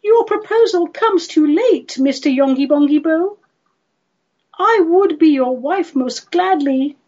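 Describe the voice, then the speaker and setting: A woman voicing a sorrowful, fretful married lady in a comic poetry recital, theatrical, plaintive and drawn-out. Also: high voice, sing-song, a little mellow, full character voice. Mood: tearful regret. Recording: dull lo-fi recording.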